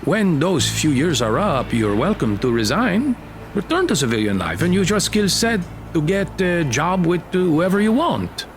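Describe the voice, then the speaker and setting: Genial army colonel, lively swooping pitch, informal, persistent recruiter, audio drama, music underneath. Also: male voice, moderate pace. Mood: persuasive.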